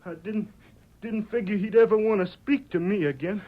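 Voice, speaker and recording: A man's voice: high-pitched, normal speed. A male actor playing an earnest fifteen-year-old frontier son in an old radio western, with hesitant rural speech. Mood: ashamed, earnest. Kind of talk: 1940s radio western acting. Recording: muffled old radio recording.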